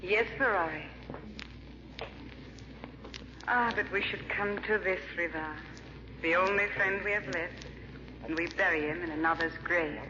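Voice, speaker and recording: A woman's voice: on the low side, natural voice. Casting: woman playing imperious villainous countess, scheming and cold, old radio drama, noisy dull recording. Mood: anxious. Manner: imperious, brisk orders. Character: cold, commanding murderous countess, scheming wife. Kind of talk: 1940s radio drama acting.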